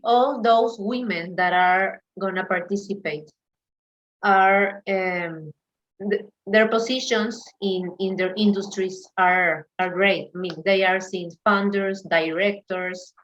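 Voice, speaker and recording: A woman with a Mexican accent, a native Spanish speaker, talking as an interview guest and searching for English words. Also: medium pitch. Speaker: panel guest, event organizer. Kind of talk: conversational interview. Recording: dull, lo-fi call audio.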